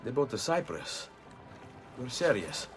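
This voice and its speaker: A man's voice: mid-range voice, big pitch swings, measured pace, distant mic. An actor playing a brooding, vengeful young Italian nobleman turned assassin, with an Italian accent, in a dramatic game cutscene. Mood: brooding, wry. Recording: music behind.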